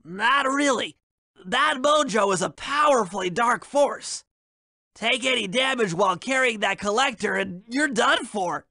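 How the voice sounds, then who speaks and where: An actor playing a nerdy, theatrical turtle mastermind in a video game, wordy and pedantic. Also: male voice, very high voice, expressive, measured pace, bright, forceful tone, no room sound, studio quality, exaggerated voice. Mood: explaining.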